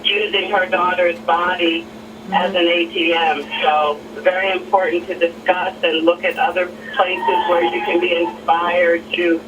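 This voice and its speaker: A woman caller offers an articulate comment in a measured, formal manner. Her intonation is very flat, and the call comes through from far off with plenty of noise. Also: on the low side, lo-fi sound. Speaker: radio show caller. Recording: call heard distantly through noisy speaker.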